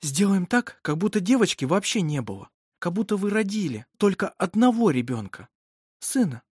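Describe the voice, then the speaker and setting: A woman voicing a resolute, emphatic midwife in an audiobook, speaking with brisk authority. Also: lowish voice, big pitch swings, a little mellow, husky, no echo, clean studio sound, pitched above the performer's own voice. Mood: persuasive.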